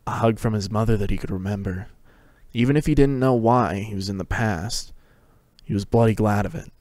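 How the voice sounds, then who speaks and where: A man's voice: on the low side, a little fast, a little mellow, dry recording. A man giving a scripted dramatic reading of a fantasy story as narrator. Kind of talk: dramatic reading of fan fiction.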